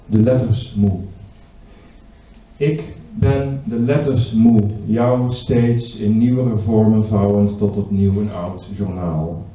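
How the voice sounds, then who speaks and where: A man's voice: lowish voice, steady tone, slow, dark tone. A middle-aged man reading a poem from paper at a stand microphone, grave and weary in manner. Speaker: poet. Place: small indoor stage with curtains. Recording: roomy distant live stage recording.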